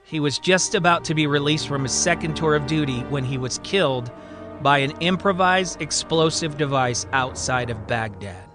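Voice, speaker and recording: A man's voice: fairly high pitch, expressive, normal speed, over music. A man telling a scripted story as a podcast storyteller, with a theatrical, emphatic and suspenseful delivery. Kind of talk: dramatic scripted story narration.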